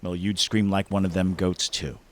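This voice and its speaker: Man playing a low-voiced, dry old friend. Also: flat delivery, moderate pace, lightly acted. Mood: dry, teasing. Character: old man, lifelong fishing buddy, dry, good-natured teaser. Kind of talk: naturalistic short film drama acting.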